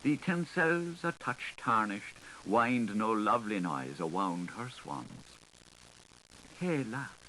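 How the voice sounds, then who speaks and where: A man's voice: lowish voice, big pitch swings, slow pace, pushed and bright, rough recording. A man narrating a literary audiobook in a theatrical, lilting, singsong manner. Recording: hissy 1980s audiobook recording.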